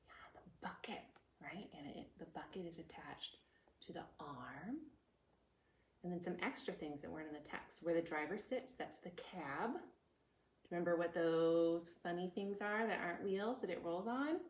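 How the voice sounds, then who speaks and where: A woman's voice: fairly low pitch, normal speed. A woman hosting a preschool storytime, reading aloud and chatting expressively, with lots of questions for her young listeners. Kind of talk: children's read-aloud with chatty explanations. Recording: echoey room with background noise.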